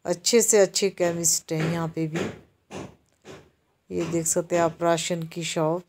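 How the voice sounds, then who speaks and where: Slow, even-voiced woman touring a market. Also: fairly low pitch. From Himachal Pradesh, India. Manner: slow, even-toned, explaining to viewers. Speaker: vlogger giving a walking market tour. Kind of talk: casual walking tour narration. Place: busy town market street outdoors. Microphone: handheld camera while walking.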